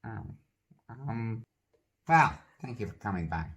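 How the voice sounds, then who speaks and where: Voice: medium pitch, expressive, takes it slowly. A puppeteer voicing a nosy, clumsy sock puppet host who asks awkward questions full of nervous stammers. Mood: deflated. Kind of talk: comedy puppet sketch.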